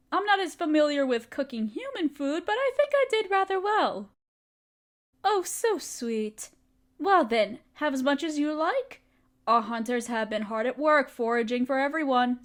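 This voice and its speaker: A woman playing a motherly spider queen in a roleplay audio, speaking tenderly and patiently with gentle teasing and formal wording. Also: sits high. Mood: warm, nurturing. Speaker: mother figure teaching a language. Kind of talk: roleplay audio, softly acted monologue.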